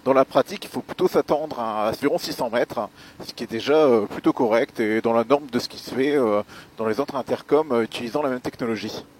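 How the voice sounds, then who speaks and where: A man giving a spoken gear review as a motovlogger, explaining things in a measured, hesitant way with pauses. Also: medium pitch, a little mellow, slight background noise. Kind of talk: spoken product review while riding.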